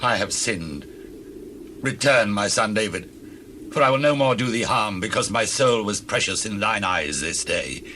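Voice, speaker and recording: Bright, forceful and fairly low, this is an older monarch in a Bible audio drama. He is grave, fatherly and heavy with remorse, declaiming over a noisy outdoor backdrop. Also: lively intonation, moderate pace. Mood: remorseful. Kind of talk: dramatized scripture audio acting.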